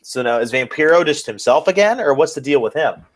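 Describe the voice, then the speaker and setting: A man's voice: fairly high pitch, expressive, brisk. A man co-hosting a podcast, chatting casually as an enthusiastic fan and swearing freely. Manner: enthusiastic, profane. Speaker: podcast co-host. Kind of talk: casual wrestling fan chat.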